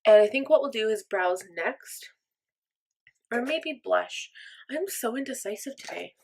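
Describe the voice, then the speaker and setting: A woman's voice: on the high side, animated, a little slow, vocal fry, a little mellow. Chatty woman rambling through her makeup. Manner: chatty, rambling, self-deprecating, with fillers like "like". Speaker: makeup vlogger. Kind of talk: casual chat while doing makeup.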